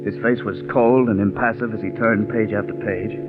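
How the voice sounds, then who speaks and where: An actor playing an earnest, patient scriptwriter in a polite, measured 1940s radio drama manner. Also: male voice, on the low side, fairly even intonation, natural voice. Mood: earnest narrating. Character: earnest, patient male scriptwriter narrating his own story. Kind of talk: 1940s American radio drama acting and first-person narration. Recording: dull vintage radio recording with music.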